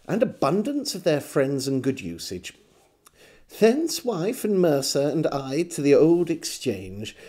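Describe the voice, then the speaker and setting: Animated, mellow English male storyteller. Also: on the high side. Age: middle-aged. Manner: measured, theatrical storyteller delivery. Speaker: storyteller and host. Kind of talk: dramatic literary reading of a historical diary. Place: book-lined room at home, indoors.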